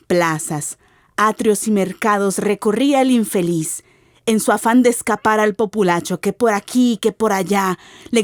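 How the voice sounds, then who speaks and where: A woman giving an expressive, theatrical narration of a literary radio drama as its narrator. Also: mid-range voice, no echo, clean studio sound. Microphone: close studio mic.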